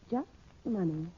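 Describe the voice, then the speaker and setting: A woman's voice: lowish voice, husky, heavily acted. An actress with an American accent playing a coy, insinuating female blackmailer who poses as a sweet wife, in 1940s radio style. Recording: dull, lo-fi 1940s radio recording.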